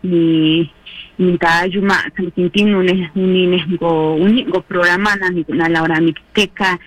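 A woman whose first language is Triqui, co-hosting a radio show in casual chat, talking softly and unhurriedly with many fillers. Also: fairly low pitch, fairly even intonation, a little slow, no room sound, rough recording. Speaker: radio co-host. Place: radio studio.

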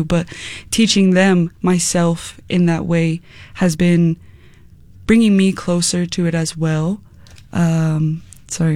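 A woman's voice: lowish voice, dark tone, dry recording. Young American woman, close dry sound. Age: young adult. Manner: giggly, informal, frequent "like". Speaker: musician guest, singer and rapper. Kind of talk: casual interview chat.

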